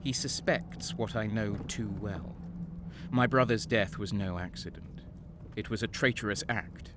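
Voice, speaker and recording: A man playing a defiant, embittered renegade soldier in a dark sci-fi audio drama, brooding and intense. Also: lowish voice, measured pace, noisy background, lightly acted. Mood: wary, grim.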